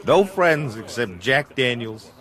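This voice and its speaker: A man's voice: mid-range voice, very animated, takes it slowly, a bit bright. A man acting as a hapless, hard-drinking small-time crook in an audio drama, nervous, wheedling and self-pitying.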